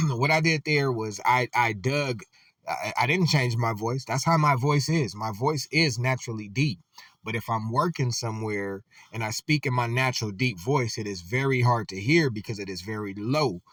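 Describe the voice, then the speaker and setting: A man giving casual, slangy commentary on dating, animated and blunt, sometimes dropping into a deep, resonant voice. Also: dry recording, studio quality, distant mic. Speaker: commentator reacting to a clip.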